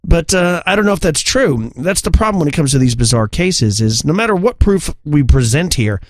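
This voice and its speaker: Male podcast co-host, slightly high voice, casual storyteller, very dry studio sound. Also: big pitch swings, a little fast, no echo.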